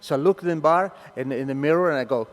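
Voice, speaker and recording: An older man from Argentina with an Argentine Spanish accent, a native Spanish speaker, telling an animated story to a meeting and acting out the voices. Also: a little high, quick pace, big-room sound, soft background music. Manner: animated, acts out voices in his stories. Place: meeting hall.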